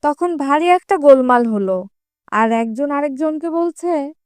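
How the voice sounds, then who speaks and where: A woman's voice: high-pitched, expressive, a little slow, over music. A woman narrating a scripted children's fable as a storyteller.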